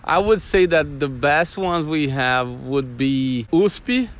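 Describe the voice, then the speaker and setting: A man's voice: a little high, expressive, slight background noise. Brazilian man hosting a casual interview. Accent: Brazilian Portuguese. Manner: halting, searching for words. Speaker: host.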